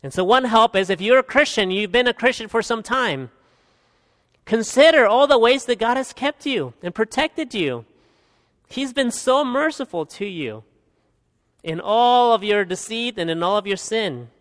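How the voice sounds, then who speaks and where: A man in his thirties from Guatemala, preaching an expository sermon as pastor, earnest, swinging from emphatic peaks to quiet asides. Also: very high voice, lively intonation, normal speed. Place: church hall. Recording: long hall reverb.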